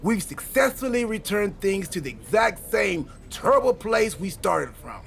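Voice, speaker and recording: A sarcastic wrestler buddy in a video game. His high voice is creaky and swings playfully as he jokes, with faint noise behind. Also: big pitch swings, a little slow, a bit bright, full character voice. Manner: sarcastic, joking. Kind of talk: video game cutscene dialogue.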